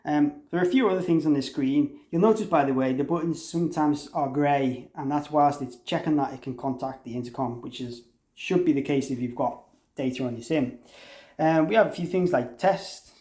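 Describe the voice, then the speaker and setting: A man with a slightly high-pitched voice presents an informal software demonstration. He explains each step conversationally, thinking aloud without a script. The sound is roomy, with clear echo around his voice. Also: normal speed. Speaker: demonstrator presenting a product tutorial. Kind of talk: informal software walkthrough, unscripted. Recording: roomy, echoey room sound.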